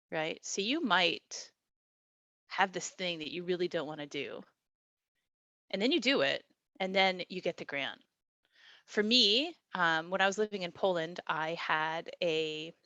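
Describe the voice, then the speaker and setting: A woman's voice: on the low side, sharp tone, dry recording. A woman teaching an online class, talking in an encouraging, conversational way with many fillers over a video call. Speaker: class instructor.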